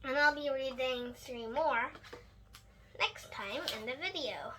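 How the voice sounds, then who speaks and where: A woman reading a children's book aloud in an expressive storytelling style, with a few slips. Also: sits high, slow pace. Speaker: storybook reader. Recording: clear background noise.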